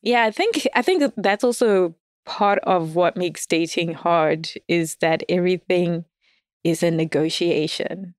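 A woman's voice: fairly low pitch, a little slow, dry recording, studio quality. A woman guest in casual conversation, candid and self-deprecating, laughing often as she talks. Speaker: podcast guest. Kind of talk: casual dating chat.

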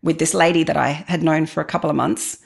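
A woman in her forties speaks as an interview guest, looking back on her own life. Her voice sits low, with a marked creak at the edges. She is candid and introspective, talking in a conversational storytelling way. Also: steady tone, fast talker. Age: 40s. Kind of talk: reflective personal storytelling.

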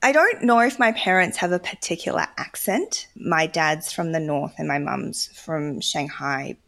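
A woman's voice: deep voice, measured pace, vocal fry, a bit bright. A woman talking thoughtfully in a casual discussion, often hedging with "kind of". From Australia.

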